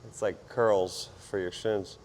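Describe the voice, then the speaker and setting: A man with a fairly low, hoarse voice chats informally as he works out for a fitness vlog. He rambles in a self-deprecating way between efforts. Clear background noise surrounds his voice. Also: steady tone, slow pace, darkish tone. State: hoarse, partly lost voice. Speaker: fitness vlogger. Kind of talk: casual workout vlog chat while exercising.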